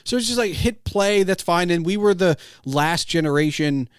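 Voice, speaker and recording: A man's voice: sits high, expressive, normal speed, clear, resonant tone, no echo, studio quality. A middle-aged man in casual podcast conversation, rambling and digressive, often breaking off his clauses to start another. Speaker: podcast host.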